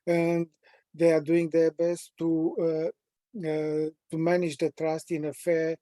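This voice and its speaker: A man in his sixties from Romania, with a Romanian accent, presenting a training webinar at a measured, deliberate pace with frequent pauses. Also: on the high side, completely flat delivery, mellow, dry recording. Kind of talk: prepared self-introduction in a training webinar.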